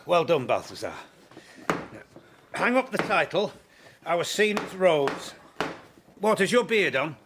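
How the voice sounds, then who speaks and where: An actor playing a grieving, vengeful middle-aged court official in a classical verse radio drama, intense and commanding, sometimes dropping to a hush. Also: male voice, on the high side, very animated, takes it slowly, pauses often, slight background noise, heavily acted. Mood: brisk, impatient. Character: grieving, vengeful Spanish father and court knight-marshal. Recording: music under the voice.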